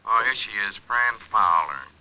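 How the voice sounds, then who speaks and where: An actor playing a matter-of-fact newspaper library clerk, clipped and businesslike, in a 1950s radio mystery. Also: male voice, on the low side, lively intonation, normal speed. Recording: dull vintage radio recording.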